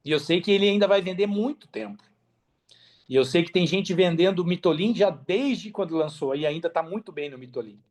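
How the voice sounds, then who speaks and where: A Brazilian man with a slightly high voice talks as a guest on a podcast. His delivery is casual and emphatic, full of slang and repeated phrases for stress. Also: brisk. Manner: emphatic, repeats phrases, slangy, animated storytelling with acted-out quotes. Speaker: podcast guest, affiliate marketer.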